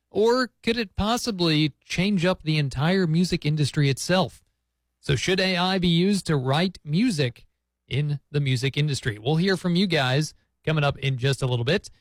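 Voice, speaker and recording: A man's voice: a little high, no echo. Dry, close-sounding male radio host. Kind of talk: conversational radio presenting and interviewing.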